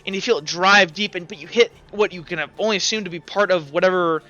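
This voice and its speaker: A man's voice: high-pitched, quick pace, pushed and bright. A young man narrating as game master of a tabletop game, rambling with lots of fillers. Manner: rambling, lots of likes and uhs. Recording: dull lo-fi mic.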